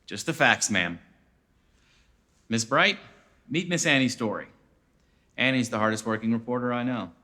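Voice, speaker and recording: A man playing a terse, hardboiled private detective in a noir parody, with clipped deadpan delivery. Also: medium pitch, normal speed, pauses often.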